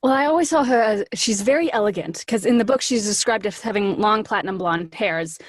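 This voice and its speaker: A woman speaking as an interview guest, telling things in an animated, casual, storytelling way. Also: mid-range voice, brisk, no room sound.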